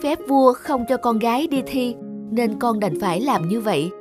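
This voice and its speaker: A woman's voice: on the high side, animated, normal speed, over music, close to an everyday voice. A woman playing a polite poor student. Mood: earnest. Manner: polite, earnest.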